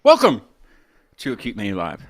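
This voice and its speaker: A man with an American accent hosts a casual live interview. His pitch moves around in an animated way, and the sound is close and dry. He talks informally and tends to ramble. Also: moderate pace, no echo, faint music behind. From West Virginia, United States. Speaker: host of a live music interview show.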